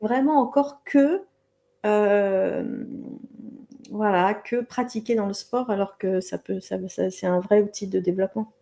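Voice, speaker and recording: A woman's voice: mid-range voice, measured pace, gravelly, dark tone. A woman guest on a video call, chatting casually and animatedly, often restarting her sentences. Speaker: guest expert. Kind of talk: casual conversation.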